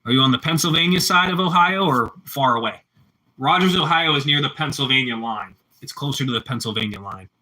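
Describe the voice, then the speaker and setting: A man's voice: medium pitch, lively intonation, a little fast, pushed and bright, rough recording. A man with a Pittsburgh accent chatting casually with live-stream viewers, rambling and repeating himself as he answers the chat.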